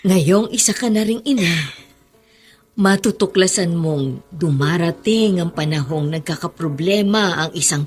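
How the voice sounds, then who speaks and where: A patient, loving middle-aged Filipino mother in a radio drama. Her voice sits on the low side for a woman, and she gives earnest comfort gently, with music behind her. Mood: solemn, hopeful. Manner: gentle, reassuring, earnest advice-giving. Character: loving, patient mother and new grandmother, consoling her children.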